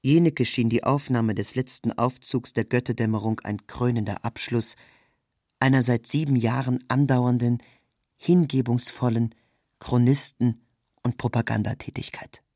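A man's voice: mid-range voice, steady tone, moderate pace, darkish tone, dry recording. Man reading formal prose, dry close recording. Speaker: narrator, reader. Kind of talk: scripted literary narration.

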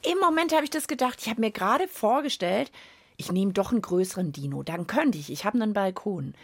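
A woman's voice: mid-range voice, animated, a little fast, slightly rough, no echo. A woman co-hosting a children's science show, bantering and storytelling casually and playfully in a studio. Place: radio studio.

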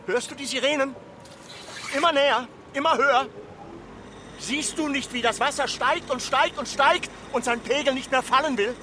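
Radio drama: village mayor, extremely high voice, imploring and insistent, over noticeable vehicle noise. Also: male voice, moderate pace. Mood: urgent, warning. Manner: insistent, incantatory repetition. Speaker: actor. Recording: clear background noise, vehicle sounds.

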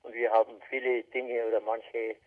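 A man's voice: mid-range voice, level tone, normal speed. Tinny phone message from a man. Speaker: caller leaving a voicemail. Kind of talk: spontaneous answering machine message. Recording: tinny telephone answering machine recording.

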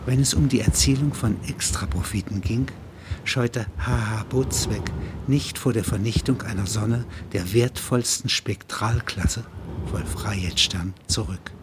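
A man reading scripted literary narration in an audio drama, measured and matter-of-fact. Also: fairly low pitch, noisy background.

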